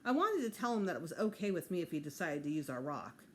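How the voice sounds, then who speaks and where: A woman teacher reading a children's book aloud in an expressive narrating style. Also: lowish voice, fast talker, slight background noise.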